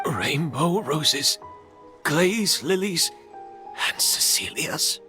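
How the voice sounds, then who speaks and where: An actor playing a grave, measured master perfumer with deep feeling in a game cutscene. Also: male voice, animated, bright, forceful tone, heavily acted. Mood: grim, incredulous. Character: grave male master perfumer, intense, grieving, instructive. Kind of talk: dramatic game cutscene dialogue. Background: background music.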